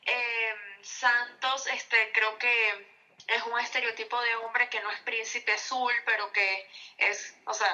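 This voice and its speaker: A woman's voice: fairly high pitch, fairly even intonation, slow pace, pushed and bright, dull audio. A young Venezuelan woman with a Venezuelan Spanish accent, a novelist answering interview questions on the radio with a chatty manner and many fillers. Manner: chatty, lots of fillers, laughs often. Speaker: novelist guest.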